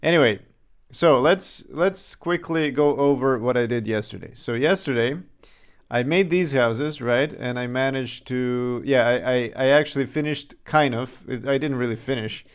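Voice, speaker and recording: A man's voice: medium pitch, moderate pace, clean tone. A young man from Serbia with a slight Serbian accent, casually thinking aloud and chuckling as he talks through his game development on a livestream.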